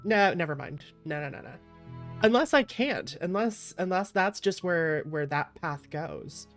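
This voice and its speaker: A woman streamer with a slightly low voice commentates casually on a game. She rambles and thinks aloud, often repeating phrases. Music plays softly behind her. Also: animated, a little slow. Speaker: streamer playing a visual novel.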